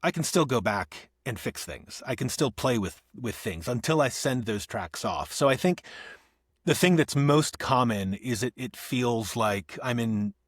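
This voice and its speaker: A man, an actor and audiobook narrator, talking as a podcast guest, thinking aloud with restarts and soft laughs. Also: medium pitch, animated, a little slow, dry recording, clean studio sound. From Northeast Ohio. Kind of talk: conversational podcast interview.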